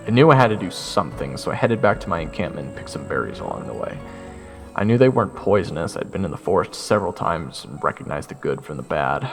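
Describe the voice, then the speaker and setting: Gravelly, sardonic young male narrator. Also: rumbling low, in a large hall. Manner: sardonic, expressive. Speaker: narrator and lead voice actor. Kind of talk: first-person audio drama narration.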